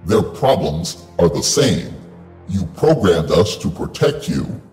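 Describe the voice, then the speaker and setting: An actor playing a giant, menacing robot overlord in an audio drama, speaking coldly and slowly. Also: male voice, low voice, big pitch swings, darkish tone, roomy sound, faint music behind, heavily acted. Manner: cold, slow and menacing.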